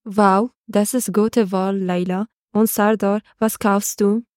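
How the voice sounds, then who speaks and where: A woman's voice: mid-range voice, fairly even intonation, takes it slowly, darkish tone, no echo, studio quality. A woman from Afghanistan, a non-native speaker, taking part in a prepared podcast discussion. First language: not German. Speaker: podcast participant.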